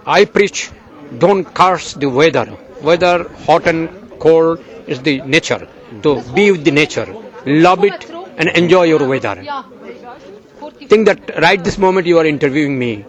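An older man from Bangladesh, an agricultural journalist, giving slow, earnest interview answers outdoors. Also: on the high side, animated.

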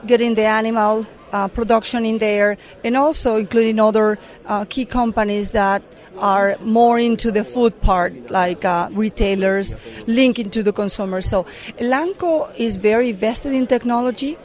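Woman, Mexican accent, middle-aged, earnest, halting with fillers, somewhat high pitch. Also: fairly even intonation, a little mellow. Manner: earnest, with frequent fillers and restarts. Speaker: corporate affairs director. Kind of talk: conference interview answers.

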